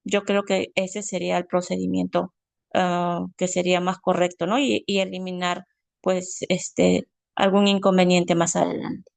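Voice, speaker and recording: A woman's voice: lowish voice, little pitch movement, unhurried, gravelly, darkish tone, dry recording. A woman teaching a family history class over a video call, speaking in a friendly way with many fillers. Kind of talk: online class, casual explanation.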